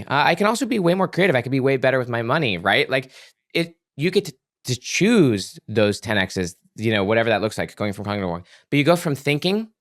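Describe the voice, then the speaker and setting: A man's voice: medium pitch, no room sound, studio quality. A man speaking as a podcast guest, explaining ideas in an earnest, emphatic way and repeating words for emphasis. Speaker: podcast guest, author and psychologist. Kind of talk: conversational explaining in an interview.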